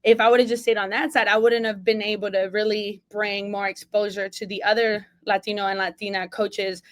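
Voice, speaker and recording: A woman's voice: mid-range voice, fairly bright tone. Earnest woman from Mexico, emphatic. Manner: earnest and emphatic, leans on "like" and "right?"; single mom. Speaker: associate athletic director, guest. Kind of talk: casual interview talk.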